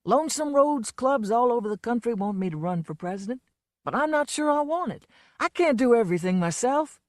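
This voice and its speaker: A woman's voice: a little high, lively intonation, a little fast, dry recording, clean studio sound, pitched above the performer's own voice, close to an everyday voice. A woman voicing a drunk, blustering man. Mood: maudlin boasting. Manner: loud, swaggering, slurred. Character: drunken blustering man, a boastful folksy entertainer.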